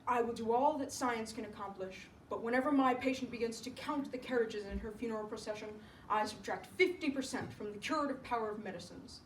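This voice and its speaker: Woman putting on a gruff older male doctor, echoey. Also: a little high, lightly acted. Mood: grave, measured. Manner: blunt, pompous, measured. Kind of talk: solo dramatic storytelling performance. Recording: echoey room, distant mic, background noise.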